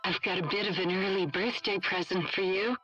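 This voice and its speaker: A woman's voice: medium pitch, steady tone, a little fast, bright, forceful tone. An actress playing an earnest, urgent scientist mother who heads a laboratory in a dramatic video game. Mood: sorrowful. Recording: dull lo-fi sound, music underneath.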